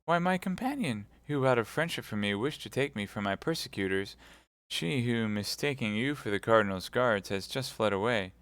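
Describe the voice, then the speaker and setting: A man's voice: mid-range voice, lively intonation, normal speed, fairly bright tone, smooth, no echo, lightly acted. A man voicing a dying young woman who pleads in breathless, frightened bursts. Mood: tender.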